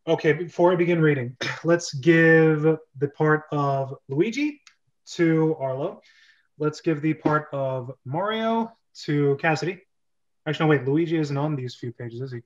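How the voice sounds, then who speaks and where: A man's voice: fairly high pitch, lively intonation, unhurried, lo-fi sound. A man chatting casually as host of an amateur script reading, handing out parts.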